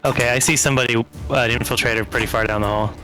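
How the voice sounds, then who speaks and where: A man's voice: medium pitch, fairly even intonation, very fast, over music, poor audio. A man playing a tabletop game, chatting casually into a headset.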